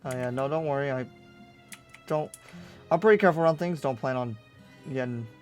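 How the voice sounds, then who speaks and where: A man playing an agreeable, curious ally in an improvised anime game roleplay, speaking in a mild, halting way with stammers. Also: medium pitch, faint music behind, close to an everyday voice. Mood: reassuring. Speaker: roleplay series player.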